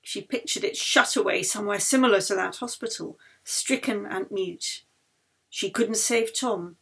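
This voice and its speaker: A woman narrating a novel as its author, with dramatic, deliberate phrasing. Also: medium pitch, gravelly, fairly bright tone, breathy edge. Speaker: author reading their own novel. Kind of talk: expressive audiobook narration. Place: recorded at home.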